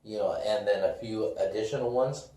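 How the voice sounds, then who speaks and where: A man's voice: on the low side, normal speed, mellow, slight background noise. A man chatting casually about games and movies as a podcast co-host, in a rambling, loosely phrased way. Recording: echoey room, distant from the mic.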